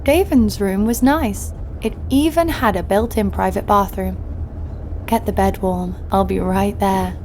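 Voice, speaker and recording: A woman's voice: mid-range voice, measured pace, background noise, lightly acted. A woman playing a brash, scheming young con artist, narrating and talking in an audio drama with a sardonic, crude edge. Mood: sardonic, sly. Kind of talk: first-person narration and dialogue in audio drama.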